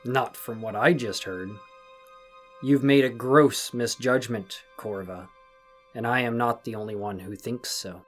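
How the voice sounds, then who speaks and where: A man's voice: medium pitch, a little slow, soft background music, natural voice. Man acting a condescending, sinister magical enforcer. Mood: scolding. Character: stealthy, condescending male enforcer of a magical benefactor. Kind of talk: dramatic audio drama acting.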